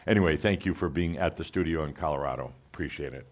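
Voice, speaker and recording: A man's voice: extremely low pitch, fast. A man hosting a live gathering in an island pavilion, chatting casually with fillers and small laughs. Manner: relaxed, chatty, with fillers and chuckles. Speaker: host. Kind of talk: casual welcome talk to a live group. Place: open pavilion on a Hawaiian island.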